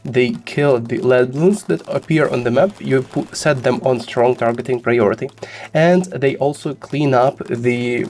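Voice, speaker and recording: A man's voice: medium pitch, measured pace, darkish tone. Man with a sick voice explaining game strategy. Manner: instructive, many "uh" fillers. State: sick, slightly rough voice. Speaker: gaming guide host.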